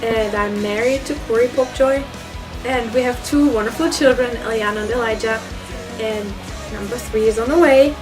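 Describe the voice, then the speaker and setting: A woman's voice: on the high side, moderate pace, over music, rough recording. A woman from Germany gives a personal testimonial in an earnest way. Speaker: church member giving testimonial.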